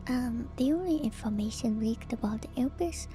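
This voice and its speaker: A woman streamer whispering softly and breathily close to the mic in an ASMR style, gently repeating words as she reads and comments. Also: on the high side, lively intonation, moderate pace, soft background music. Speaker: VTuber streamer.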